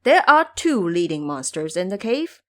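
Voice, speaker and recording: A woman's voice: on the low side, sing-song, normal speed, fairly bright tone. A woman voicing an exasperated male woodcutter in an audio drama, scolding and blunt with emphatic delivery. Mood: grim warning.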